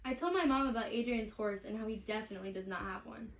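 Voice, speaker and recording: A woman's voice: on the high side, fast talker. Woman reading a storybook in a roomy, noisy space. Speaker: reader leading a read-aloud for a child. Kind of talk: picture-book read-aloud with questions to a child. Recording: roomy, distant mic, clicks and clear noise.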